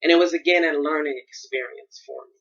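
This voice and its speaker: A woman's voice: low voice, fairly quick, highs cut off. An American woman hosting a solo podcast, thinking aloud in a rambling, reflective monologue with frequent restarts. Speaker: podcast host.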